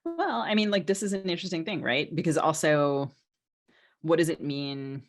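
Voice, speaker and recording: A woman's voice: low voice, animated, moderate pace. A woman novelist co-hosting a podcast, talking casually in a hesitant, discursive way with plenty of "like".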